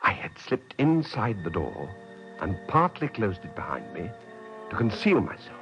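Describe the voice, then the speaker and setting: Proud french gentleman telling his adventure, theatrical old radio acting, lots of pitch swing, bit muffled. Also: huge pitch swings, a little slow, gravelly, full character voice. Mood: narrating, suspenseful. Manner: theatrical, articulate, dramatic. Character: headstrong French nobleman recalling his adventurous youth. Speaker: lead actor and narrator. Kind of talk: mid-century radio drama narration and acting. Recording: slightly dull vintage radio recording, music behind.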